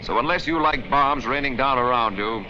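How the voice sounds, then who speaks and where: A man's voice: mid-range voice, normal speed, noisy background. An American man narrating a 1940s military instructional film in an emphatic, instructional manner with clipped directives. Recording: dull, band-limited 1940s film soundtrack.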